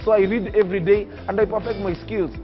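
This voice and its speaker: A man's voice: high voice, steady tone, fast, dull audio, soft background music. A nineteen-year-old man from Kenya telling his life story in an emphatic, oratorical way. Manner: oratorical, emphatic, preacher-like cadence. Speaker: young public speaker interviewed on TV. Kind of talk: earnest personal storytelling.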